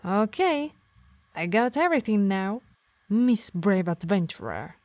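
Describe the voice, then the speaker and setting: A woman's voice: mid-range voice, very animated, unhurried, darkish tone, dry recording. A woman playing a possessive, teasing harpy healer who scolds fondly in an ASMR roleplay. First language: Italian.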